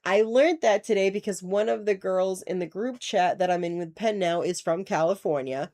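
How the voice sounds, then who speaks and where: A woman's voice: medium pitch, normal speed, darkish tone. A woman with a Rhode Island accent, a podcast cohost chatting casually, swearing freely and retelling conversations. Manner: chatty, profane, quotes others in retelling. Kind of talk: casual chat.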